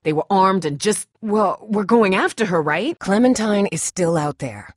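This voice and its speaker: A tough young woman survivor from a video game drama, played by an actress, protective and blunt. Her voice is husky and swings widely in pitch, recorded close and dry. She speaks in terse, urgent lines with a sardonic edge. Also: animated, moderate pace, dry recording, close to an everyday voice. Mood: tense, earnest.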